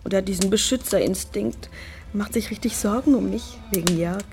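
A woman's voice: medium pitch, brisk, noisy background, lightly acted. A woman playing a scheming, discontented wife in a German radio crime drama, coolly calculating and persuasive. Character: scheming, discontented wife plotting with her lover.

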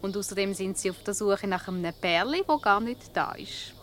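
An actress playing a playful, cunning, flirtatious wife in a radio comedy, teasing and self-assured, with a Swiss German accent. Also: female voice, medium pitch, lively intonation, a little fast, a little hiss or hum, close to an everyday voice. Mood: teasing, knowing. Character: playful, cunning, flirtatious married woman fooling a detective.

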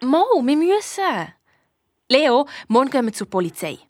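A woman's voice: high voice, very animated, normal speed. A woman voicing a young girl detective with a Swiss accent, eager and blunt, telling her story colloquially in a children's radio play. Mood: determined.

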